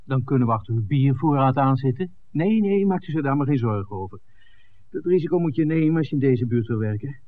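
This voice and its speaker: An actor playing an eager, easygoing filmmaker with an eye for women in a 1960s Dutch radio drama. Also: male voice, medium pitch, animated, brisk, close to an everyday voice. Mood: eager, persuasive. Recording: muffled, narrow old radio recording.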